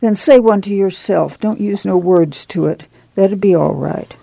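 A woman voicing a frail, dying migrant wife, gentle but insistent. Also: mid-range voice, unhurried, creaky, breathy edge, no echo. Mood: pleading.

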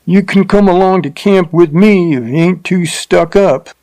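A man voicing an uncouth, leering frontier rider with a rough drawl and bad grammar. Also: high voice, a little slow, a little mellow, voice pushed up. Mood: gruff, mocking.

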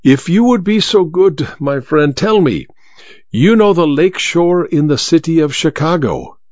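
A man voicing a vain, theatrical blind stage magician, speaking high and showy. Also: lively intonation, a little slow, darkish tone, no echo, lo-fi sound. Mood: commanding.

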